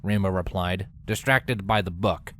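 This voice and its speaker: A man's voice: sits low, measured pace, fairly bright tone. A man reading scripted story narration as the narrator of a tale.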